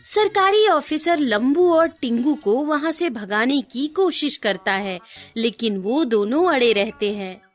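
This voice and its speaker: A woman's voice: sits high, animated, a little slow, faint music behind. A woman narrating a scripted children's cartoon story.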